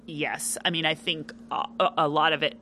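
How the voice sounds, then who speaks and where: A woman chatting casually as a podcast guest, with a loud, animated delivery full of fillers. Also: low-pitched, normal speed, a little hiss or hum. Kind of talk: casual interview chat.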